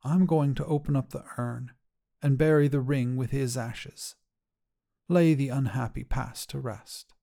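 A man plays an elderly widowed grandmother in an audio short story. The voice is mellow and soft-edged, pitched a little above his narration. She speaks earnestly and wistfully, with gentle resolve. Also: unhurried, creaky. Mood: uneasy, resolute. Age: older.

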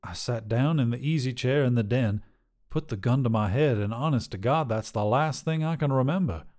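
A man's voice: medium pitch, moderate pace, darkish tone, lightly acted. A man voicing a young drifter, dazed and haunted, who speaks in a hesitant, stammering way.

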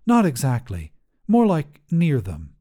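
Man voicing a tender, mellow astronaut mother. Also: a little high, huge pitch swings, a little slow, voice pushed up, heavily acted. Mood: tender, playful. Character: gentle astronaut mother reassuring her small daughter. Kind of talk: storybook character voice in prose narration.

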